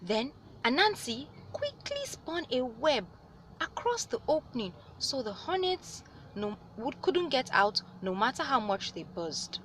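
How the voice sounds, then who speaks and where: A woman reading a story aloud as host, with animated delivery and dramatic, drawn-out emphasis on words. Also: high-pitched, slight background noise, rough recording. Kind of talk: expressive read-aloud storytelling.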